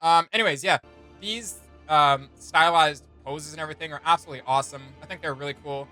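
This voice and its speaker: A man's voice: a little high, quick pace, bright, forceful tone, soft background music. A young man giving enthusiastic, slangy, casual commentary as he hosts a reaction video. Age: young adult. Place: indoor home studio room.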